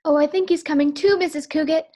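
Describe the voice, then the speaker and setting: Sitcom maid, female actor: high voice, cheeky, good-natured, deferential, vintage radio style. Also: fairly even intonation, quick pace, mellow, lightly acted. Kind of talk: 1940s radio sitcom acting.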